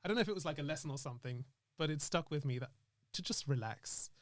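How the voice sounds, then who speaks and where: A man's voice: on the high side, lively intonation, fast talker, dry recording, clean studio sound. A man speaking as an interview guest in casual, reflective chat, earnestly thinking aloud in broken phrases. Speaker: interview guest, actor and screenwriter.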